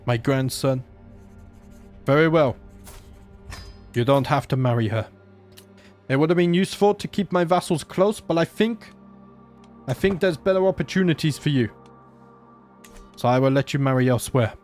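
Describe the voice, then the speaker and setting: A man's voice: mid-range voice, normal speed, pauses often, a little mellow, faint music behind. A man with a sore throat, chatting casually and joking as he plays a strategy game. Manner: chatty, joking, thinks aloud. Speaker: gaming channel host. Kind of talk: casual let's play commentary.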